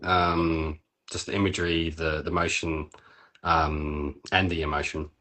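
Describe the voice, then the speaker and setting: A man co-hosting a poetry reading, speaking in measured, appreciative phrases with pauses between them. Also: extremely low pitch, level tone, bright, forceful tone. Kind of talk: casual commentary and poetry reading.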